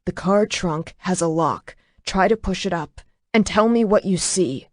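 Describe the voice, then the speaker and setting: A woman's voice: lowish voice, unhurried, dry recording, clean studio sound. A woman voicing a commanding male dragon shifter who speaks in curt, clipped orders. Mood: urgent.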